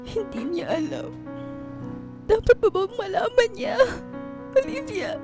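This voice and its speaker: A very high, girlish voice over music as a devoted pregnant wife. She pleads with open emotion, in the heightened manner of radio drama. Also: big pitch swings, a little slow, exaggerated voice. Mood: anguished, tearful. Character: distraught pregnant young wife, forgiving and devoted.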